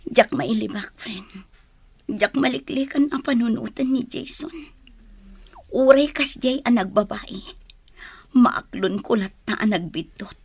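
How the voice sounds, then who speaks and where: A woman playing a heartbroken young mother in a radio drama, with a breathy, husky voice. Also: fairly high pitch, a little slow, long pauses, darkish tone, no echo. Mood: pleading. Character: heartbroken young mother clinging to her unfaithful partner.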